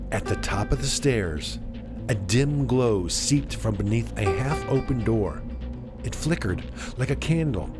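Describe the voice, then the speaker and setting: Male narrator for suspense fiction: mid-pitched, slow and measured, dramatic pauses, widely varied intonation. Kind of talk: dramatic scripted story narration. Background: music.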